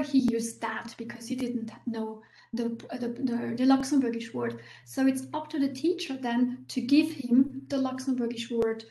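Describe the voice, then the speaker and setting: A woman academic answers audience questions in a slightly higher voice. She explains patiently and restarts her phrases with many small fillers. The room around her is echoey. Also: a little mellow. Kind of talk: university talk Q&A.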